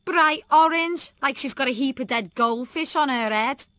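A woman's voice: sits high, normal speed, fairly bright tone, no room sound, voice pushed up. A woman voicing a spiteful schoolgirl bully who mocks in a silky, jeering way. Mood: sneering.

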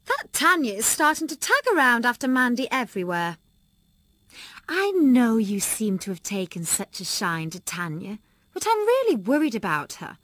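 A woman's voice: fairly high pitch, sing-song, normal speed, a bit bright, dry recording, voice pushed up. A woman voicing a fretful, controlling middle-aged mother with a wheedling manner.

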